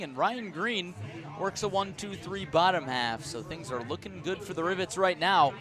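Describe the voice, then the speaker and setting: A man calling live baseball play-by-play for radio from an outdoor ballpark, in measured, descriptive short phrases. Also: medium pitch. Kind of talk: live radio sports commentary.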